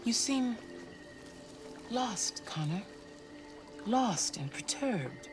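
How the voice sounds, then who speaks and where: An actress playing a cold, controlling superior in a video game, speaking in a measured, stern and coolly menacing way. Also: female voice, a little high, sing-song, music in the background, full character voice. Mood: cool, probing. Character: cold, controlling woman superior pressuring her android agent. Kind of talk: dramatic video game acting.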